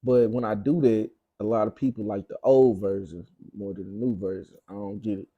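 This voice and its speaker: A man's voice: lowish voice, steady tone, fairly quick, dark tone, no room sound, highs cut off. A young man from Arkansas with a Southern US accent, talking casually as an interview guest in slang, repeating phrases for emphasis. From Arkansas, United States. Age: young adult. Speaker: guest, rapper.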